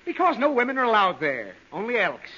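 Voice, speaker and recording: A man's voice: sits high, wildly swooping pitch, brisk, pushed and bright, exaggerated voice. An actor playing an exasperated, headachy father, groaning and pleading in 1930s radio comedy style. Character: exasperated, suffering, headachy father of little girl. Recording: muffled, hissy old 1930s radio broadcast.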